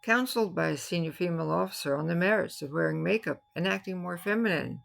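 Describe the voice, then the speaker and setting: Gravelly older American woman reading poetry. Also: on the low side, fast talker. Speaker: poet reading own work. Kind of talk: spoken-word poetry reading. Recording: music behind the voice. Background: music.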